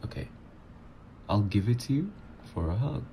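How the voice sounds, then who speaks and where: A man's voice: fairly low pitch, big pitch swings, normal speed, gravelly, warm tone, slight background noise. A man voicing a teasing supervillain ex. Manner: teasing, playful, flirtatious. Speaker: voice actor. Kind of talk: ASMR roleplay audio.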